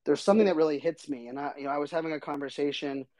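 Slightly hoarse man hosting a podcast. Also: fairly high pitch, rapid-fire, darkish tone, no echo. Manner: rambling, chatty, many fillers. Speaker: podcast host. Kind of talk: casual solo podcast talk.

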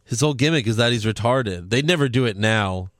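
A man's voice: medium pitch, animated, a little fast, a bit bright, dry recording. A man hosting a casual podcast, teasing his co-host and reading out plugs. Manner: dry teasing, reads fan questions and show plugs.

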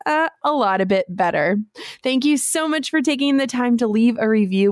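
A woman's voice: on the high side, a little mellow, no echo, clean studio sound. A woman hosting a podcast interview in a gushing, enthusiastic, conversational way. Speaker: podcast host.

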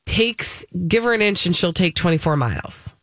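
Expressive woman co-hosting, dry studio sound. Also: on the low side, normal speed, dry recording. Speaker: radio show co-host. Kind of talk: casual entertainment chat. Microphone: close studio microphone.

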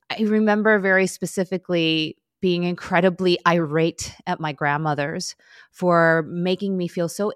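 A woman's voice: lowish voice, no room sound, studio quality. A middle-aged woman from Chicago, speaking as a podcast guest and telling childhood stories with frequent laughter. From Chicago, United States. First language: Korean. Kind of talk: relaxed interview storytelling.

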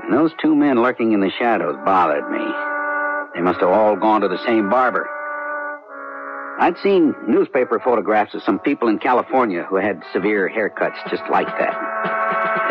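Male actor as steady sheriff narrator, lowish voice, dull broadcast with music. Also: natural voice. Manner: calm, measured, plainspoken. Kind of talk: 1970s radio drama narration. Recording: lo-fi old radio broadcast with music.

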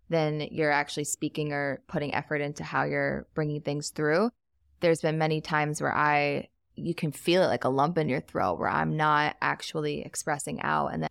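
A woman with a very low voice and a gravelly fry speaks as a podcast guest. She talks in an earnest, emphatic and reflective way. The sound is dry and close. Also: dry recording. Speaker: podcast guest, wealth coach. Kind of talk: casual podcast conversation.

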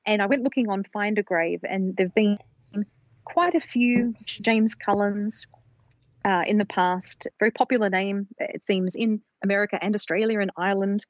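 A woman's voice: mid-range voice, moderate pace, no echo. A woman co-hosting a podcast, carefully walking through her research in a casual, explanatory way with small restarts. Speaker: podcast co-host presenting research. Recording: dull lo-fi dry recording.

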